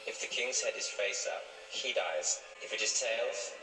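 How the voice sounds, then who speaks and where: Man acting a deep, echoey, menacing captor. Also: close to an everyday voice. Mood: ominous, deliberate. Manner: measured, commanding, ominous. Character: cold, menacing male captor running deadly games of chance. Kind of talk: period television drama acting. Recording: echoey, distant TV playback with music.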